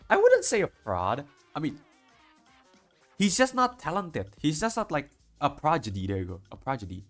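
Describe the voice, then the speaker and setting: A man streaming to a chat, reading game dialogue aloud and commenting in a casual, rambling way, often repeating himself and stumbling. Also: fairly high pitch. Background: background music.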